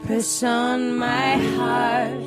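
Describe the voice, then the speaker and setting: A woman's voice: a little high, level tone, very slow. A woman singing in a live band performance, with guitar backing. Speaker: singer. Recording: loud music over the voice.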